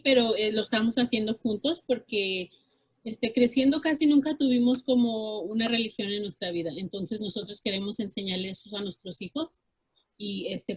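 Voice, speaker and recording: A woman with a husky, breathy voice at middle pitch introduces herself casually, as a participant talking to a class.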